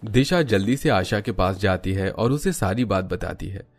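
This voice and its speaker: A man's voice: sits low, expressive, normal speed, faint music behind. A man narrating a scripted children's cartoon story.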